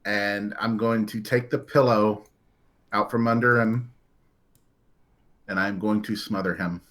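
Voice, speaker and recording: Slow, deliberate man playing a game. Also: fairly low pitch, fairly even intonation, fairly bright tone. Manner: unhurried, deliberate. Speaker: player. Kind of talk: casual chat.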